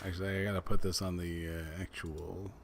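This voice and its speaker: A man's voice: low voice, flat delivery, fairly quick, vocal fry. A man in a casual group podcast chat, laid-back and half-mumbling as he pulls up clips and jokes along. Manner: laid-back, mumbles while running the show's tech.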